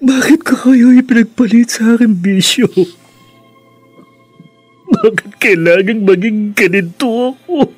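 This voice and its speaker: A man's voice: extremely high pitch, unhurried, pauses often, slightly rough, no room sound, exaggerated voice. An actor playing a remorseful, ashamed prisoner, a husband and father, in an emotional Filipino radio drama. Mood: anguished, remorseful. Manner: emotional, pleading, repeats phrases. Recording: music behind the voice.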